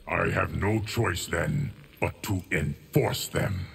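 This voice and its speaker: An actor playing an ancient, stoic immortal guardian of time in a fighting game, speaking in formal, measured, portentous lines. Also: male voice, sits low, gravelly, a little hiss or hum, heavily acted. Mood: stern. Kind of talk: fighting game character dialogue. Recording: long reverb, music behind.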